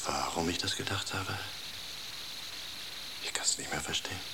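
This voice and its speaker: Dreamy poet, low voice, breath-quiet near-whisper, reverent, radio play. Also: male voice, moderate pace, vocal fry, very noisy. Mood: hushed rapture. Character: dreamy male poet, enraptured by an inner voice. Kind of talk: radio drama acting.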